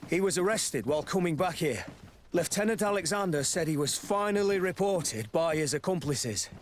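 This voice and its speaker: Guy doing an anxious loyal quartermaster, kinda high voice, earnest. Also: animated, normal speed, slight background noise, lightly acted. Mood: worried. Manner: worried, earnest. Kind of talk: video game voice acting.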